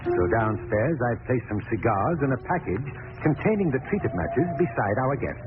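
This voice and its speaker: A sinister gentleman criminal acted by a man with measured, courteous menace and a voice that swings widely in pitch. It is a very noisy, tinny old radio thriller recording. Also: sing-song, natural voice. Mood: cool, gloating. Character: smooth, sinister male criminal chemist, coolly plotting murder. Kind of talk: 1940s radio acting.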